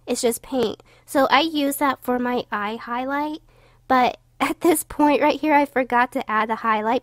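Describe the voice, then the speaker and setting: Young female vlogger, higher voice, stuffy and tired, rambling with fillers. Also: dry recording, clean studio sound. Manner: rambling, many fillers and restarts. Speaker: YouTuber narrating her drawing. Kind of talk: casual voice-over commentary.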